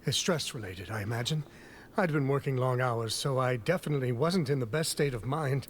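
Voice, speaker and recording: A man's voice: medium pitch, a little fast, close to an everyday voice. An actor playing a nervous, apologetic male patient in a video game, speaking hesitantly and stammering.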